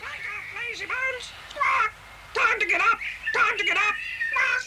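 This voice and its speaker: A woman voicing a cheeky female pet parrot with a shrill squawk and bossy repeated phrases, in a children's audio drama. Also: measured pace, pushed and bright, heavily acted. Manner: repeats stock phrases bossily. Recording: clearly noisy old cassette dramatisation.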